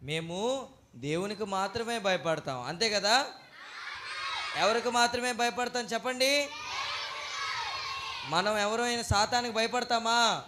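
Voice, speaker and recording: Church kids show host, man w high voice, calls out questions, loud noisy live recording. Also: a little slow, pauses often. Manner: exclamatory, calls out questions to the children. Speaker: puppet show host at a church children's program. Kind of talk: lively talk with children and a puppet. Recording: noisy live event with crowd. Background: children's crowd and loud noise.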